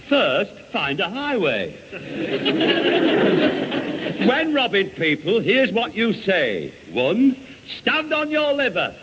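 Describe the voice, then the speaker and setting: A man's voice: very high voice, full character voice. An actor playing an exasperated, sarcastic father with a Northern English accent, grumbling and threatening in a radio sitcom. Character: exasperated, threatening working-class father, sarcastic grown man. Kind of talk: 1970 radio sitcom acting. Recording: muffled noisy vintage radio recording.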